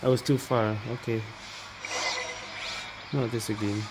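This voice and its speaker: A man's voice: mid-range voice, steady tone, a little slow, very noisy. A man muttering casual, frustrated reactions while playing a video game. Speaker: gamer. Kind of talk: casual let's play commentary. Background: game music.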